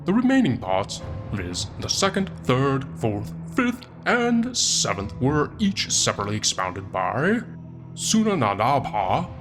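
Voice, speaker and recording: A man voicing a sardonic, foul-mouthed Satan who reads an old book aloud in a theatrical, deliberate way with wry asides, as comic narration. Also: mid-range voice, wildly swooping pitch, music in the background. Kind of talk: comic audiobook-style narration.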